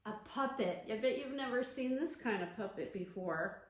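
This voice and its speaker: A woman librarian reading a storybook aloud to children on a livestream, gentle and encouraging. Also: fairly high pitch, animated, moderate pace. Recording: echoey room.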